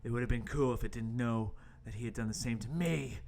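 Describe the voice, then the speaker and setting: A man's voice: medium pitch, a little fast, a little mellow, close to an everyday voice. A man playing a young intern hero who narrates his adventure mock-heroically in an amateur comedic radio play. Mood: mock-heroic narrating.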